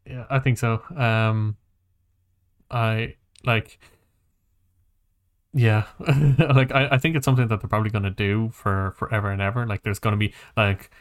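Clear, smooth-voiced man chatting informally. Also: on the low side, fairly even intonation, moderate pace, long pauses. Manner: rambling, hedging, loosely informal. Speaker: podcast host. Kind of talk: casual chat about games and films.

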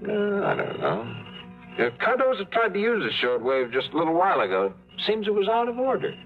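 A man's voice: high-pitched, big pitch swings, a little fast, faint music behind. An actor playing a cynical male adventurer who also narrates, with a dry, sardonic delivery, in an old radio drama. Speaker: narrator and lead character. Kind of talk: 1950s radio drama acting. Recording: muffled old radio broadcast.

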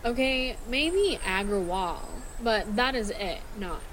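A short-tempered young werewolf for an audio drama. She is blunt and irritable, drawling very slowly with lively swings in pitch. Faint noise sits behind her. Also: poor audio. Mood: irritated.

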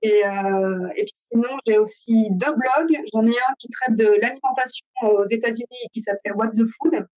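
A woman's voice: a little high, normal speed, hardly any pauses, a little mellow. Hesitant French woman, lo-fi sound. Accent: Parisian French. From France. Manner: hesitant, many fillers, laughs easily. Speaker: podcast guest. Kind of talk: casual conversation.

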